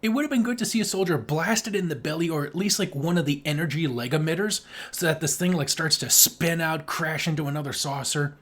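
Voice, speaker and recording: A man with a slightly high voice chats casually as a podcast co-host. He picks things apart with sarcasm, in loose conversational movie-review talk. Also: a little fast, few pauses, fairly bright tone.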